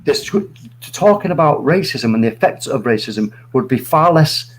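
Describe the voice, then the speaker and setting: A man's voice: mid-range voice, expressive, moderate pace, slight background noise, poor audio. Earnest man in his forties. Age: 40s. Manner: earnest, rambling, many restarts. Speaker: stream guest. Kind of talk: opinionated live chat.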